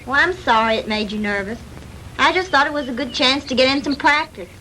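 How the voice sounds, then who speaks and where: A woman's voice: high-pitched, a little fast, bright, forceful tone. An actress playing a peppy, complaining young girlfriend in a 1960s B-movie. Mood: impatient. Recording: noisy 1960s film soundtrack.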